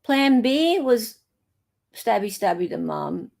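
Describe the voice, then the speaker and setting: A woman's voice: on the high side, big pitch swings, slow, creaky, a little mellow. A woman hosting a casual commentary, thinking aloud in an informal, flippant way. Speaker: host commentator.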